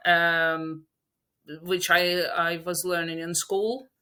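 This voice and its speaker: A woman's voice: lowish voice, little pitch movement, slow pace, sharp tone, clear, resonant tone. A woman from Latvia, a native Russian speaker, chatting as an interview guest in English, hesitant and laughing often. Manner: hesitant, many fillers and restarts, laughs often. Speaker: podcast guest. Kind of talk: casual interview chat.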